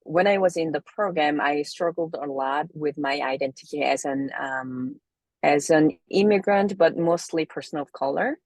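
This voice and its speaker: Woman, Korean accent, low pitch, dry close recording, reflective interview guest, searching for words. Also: normal speed, darkish tone, no room sound. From South Korea. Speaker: interview guest, somatic movement therapist.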